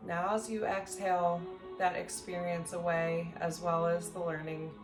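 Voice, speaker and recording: Woman doing a sleep meditation, gentle n slow w long pauses, echoey room, bit of soft music under it. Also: on the low side, level tone. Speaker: meditation instructor. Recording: roomy echo, faint music behind.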